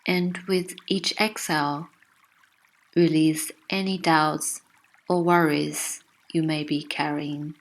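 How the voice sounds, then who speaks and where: A woman narrating a guided meditation with gentle, soothing, unhurried instruction. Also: low-pitched, level tone.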